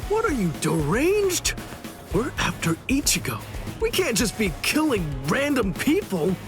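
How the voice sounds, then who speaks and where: Laid-back mocking leader, husky and bright-pitched, game dub. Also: male voice, full character voice. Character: laid-back sly male swordsman leader. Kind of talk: video game cutscene dub.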